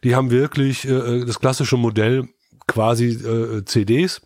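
A man on a podcast explaining things casually as a sound specialist, with halting phrasing. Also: medium pitch, level tone, darkish tone, no room sound, clean studio sound.

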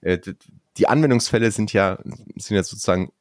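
An upbeat man hosts a casual podcast, chatting along with plenty of fillers and restarts. His voice sits on the low side and sounds dry and close, with no room around it. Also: a little fast, no room sound. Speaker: podcast host.

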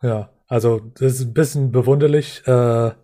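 A man from a reservation in the US Northern Plains, speaking German as a second language, explaining slowly with many pauses and fillers as a podcast guest. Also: mid-range voice, fairly even intonation, dark tone. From Lakota reservation, US Northern Plains. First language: not German. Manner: hesitant, many fillers and restarts. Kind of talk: podcast interview, reflective explaining.

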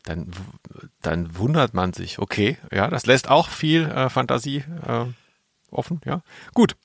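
Gravelly-voiced man chatting on a podcast. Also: mid-range voice, expressive, a little slow, no echo. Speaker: podcast co-host. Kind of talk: casual chat about audio dramas.

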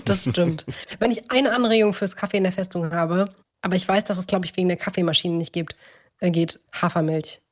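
A woman's voice: lowish voice, normal speed. A woman co-hosting a podcast in an informal, conversational chat. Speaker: podcast co-host. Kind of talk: casual chat.

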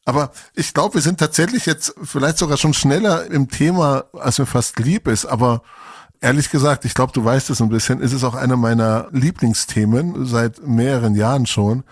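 Man, forties, from Germany, gravelly voice, relaxed reflective podcast chat. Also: mid-range voice, moderate pace, no echo, clean studio sound. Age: 40s. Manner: relaxed, reflective, many fillers. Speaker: podcast co-host. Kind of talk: casual podcast conversation.

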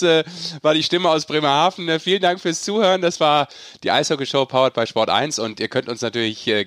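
A man's voice: mid-range voice, a bit bright, no room sound, clean studio sound. A man, a native German speaker, hosting a sports podcast in a casual style. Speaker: podcast host.

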